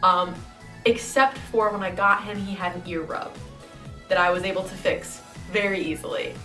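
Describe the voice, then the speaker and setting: A woman's voice: medium pitch, fairly even intonation, normal speed, pushed and bright, recorded from a distance. A chatty young woman from the Midwest rambles happily to the camera, her voice nasal and congested from a cold. She sits a little back from the mic in an echoey room, with faint music underneath. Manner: chatty, enthusiastic, rambling with fillers. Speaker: model horse collector and channel host. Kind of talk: casual vlog talk to camera.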